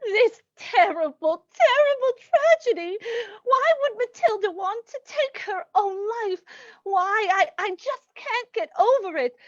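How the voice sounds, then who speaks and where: A woman's voice: very high voice, expressive, unhurried. A woman playing a grief-stricken, fussy elderly lady with a tearful, wailing delivery in an old-time radio play reading. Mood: tearful, distraught. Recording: dull, lo-fi sound.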